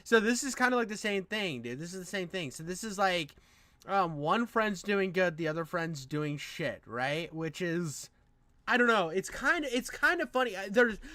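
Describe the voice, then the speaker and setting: A man with a fairly high, forceful and bright voice reacts casually to what he is watching. He talks in animated slang and often repeats his phrases. The sound is close and dry. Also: normal speed, dry recording. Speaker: reactor commenting on a video. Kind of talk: casual, excitable reaction commentary.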